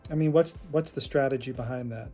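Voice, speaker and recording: A man's voice: medium pitch, fairly quick, music in the background. A man giving casual political reaction commentary in a condescending way.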